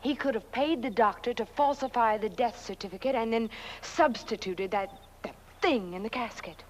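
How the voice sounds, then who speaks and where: An actress playing an anxious, scheming aristocratic wife in a horror comedy film, theatrical and pleading. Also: female voice, a little high, normal speed, slight background noise, natural voice. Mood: frightened, pleading.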